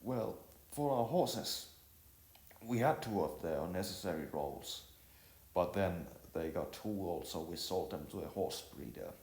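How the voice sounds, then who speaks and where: A man voicing a stoic, hardworking poor young man in a storybook, speaking in a halting, earnest, determined way. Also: deep voice, expressive, darkish tone, roomy sound.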